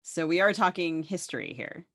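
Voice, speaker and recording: A woman's voice: lowish voice, expressive, normal speed, fairly bright tone, no echo, clean studio sound. A woman co-hosting a podcast, moving between casual chat and scripted introductions. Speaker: podcast co-host.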